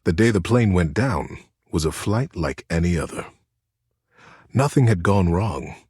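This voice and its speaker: An actor voicing a gentle, earnest storyteller character from a game, narrating a bedtime tale with dramatic pauses. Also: male voice, lowish voice, breathy edge, no echo, studio quality, full character voice. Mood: somber. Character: gentle male teddy bear storyteller, tender and earnest.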